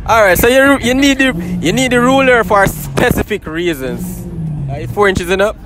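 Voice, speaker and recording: A young man with a Jamaican accent doing a playful street interview, teasing and animated, outdoors at a car show with a handheld mic. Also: high voice, normal speed, over music, a crowd in the background. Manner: teasing, animated, exclaims in patois. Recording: faint outdoor noise.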